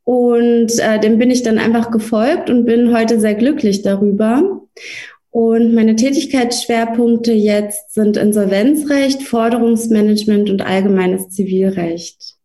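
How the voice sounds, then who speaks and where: A woman's voice: fairly high pitch, steady tone, a little slow, warm tone. A woman lawyer whose first language is Russian, answering interview questions thoughtfully with frequent hesitations. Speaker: lawyer, guest.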